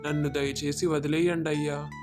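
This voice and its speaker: Cartoon villager, male actor: poor bride's father, deferential and pleading, slightly high male pitch, music behind. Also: flat delivery, moderate pace, lightly acted. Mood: pleading, fearful.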